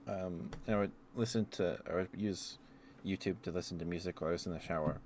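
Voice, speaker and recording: Male ASMR streamer, low-pitched, casual and rambling, with noticeable background noise. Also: fairly even intonation, fast, darkish tone. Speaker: livestreamer.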